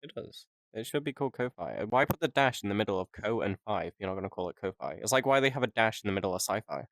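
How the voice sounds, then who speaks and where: A man's voice: lowish voice, lively intonation, fast talker, fairly bright tone, no echo. A man co-hosting a casual podcast, joking and rambling with frequent laughs. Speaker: podcast co-host. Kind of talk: casual chat.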